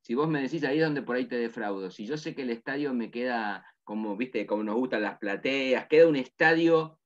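An older man with an Argentine accent, interviewing over a video call, chatty and animated. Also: mid-range voice, a little fast, lo-fi sound. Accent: Argentine Spanish. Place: office with bookshelves, indoors. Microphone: webcam mic on a video call.

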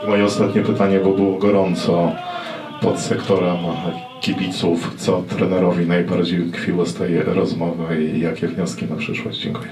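A reporter puts long, winding questions to a coach. His voice is very deep. It is picked up from a distance in a reverberant press room. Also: level tone, normal speed. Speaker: journalist. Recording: echoey room, distant mic, faint noise.

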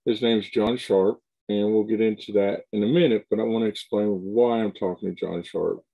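A man's voice: on the low side, fairly even intonation, normal speed, vocal fry, dark tone, dull audio. Rambling middle-aged man hosting a show. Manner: loose, rambling, with restarts. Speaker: show host. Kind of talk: casual video-call interview. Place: home room with shelves of collectibles.

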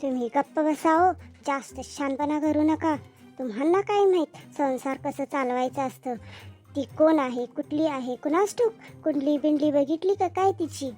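A high, melodramatic voice from a woman acting as a quarrelsome, greedy cartoon mother-in-law. She scolds theatrically in middle-aged indignation, with faint music underneath. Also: normal speed, warm tone, lightly acted. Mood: scolding. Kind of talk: comedy cartoon dialogue.

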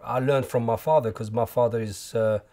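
A man in his forties with an Algerian accent, speaking in a documentary interview at home with many pauses and restarts. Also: mid-range voice, steady tone, dark tone. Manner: halting, many restarts and fillers. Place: living room at home. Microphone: lapel.

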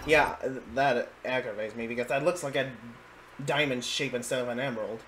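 A man's voice: medium pitch, a little fast, over a noisy crowd. Casual man giving hesitant reaction commentary. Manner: hesitant, rambling, chuckling asides. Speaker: reaction video host. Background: faint game music.

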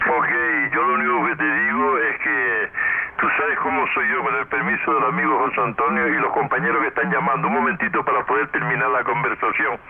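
A man's voice: medium pitch, steady tone, brisk. A man chatting casually as an amateur radio operator, effusive and repetitive, with frequent tag questions, over a radio link. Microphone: radio microphone. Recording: crackly narrowband radio transmission, clear noise.

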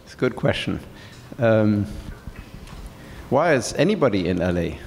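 A middle-aged man from France, speaking as a guest on stage in a large hall, pausing with many fillers. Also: on the low side, big pitch swings, a little mellow, a little hiss or hum. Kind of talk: onstage conversation. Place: large event hall with seated audience. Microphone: handheld mic.

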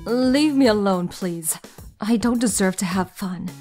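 A woman playing a guarded, gentle, melancholy young woman in an anime dub, soft-spoken and hesitant. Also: mid-range voice, a little slow, soft background music, close to an everyday voice. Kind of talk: anime manga dub acting and narration.

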